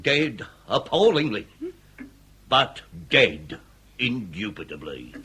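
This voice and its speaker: An actor playing a pompous, wordy Victorian police inspector with an English accent and theatrical, drawn-out emphasis, in a period comedy radio drama. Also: male voice, on the low side, very animated, sharp tone, slightly rough, full character voice. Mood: probing, emphatic. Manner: theatrical, orotund, drawn-out emphasis with occasional stammer.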